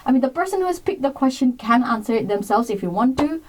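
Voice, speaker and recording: A woman in her thirties co-hosting a casual chat show, lively and quick to laugh, mixing in Nepali words. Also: high voice. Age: 30s. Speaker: co-host. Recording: distant mic with clear background noise.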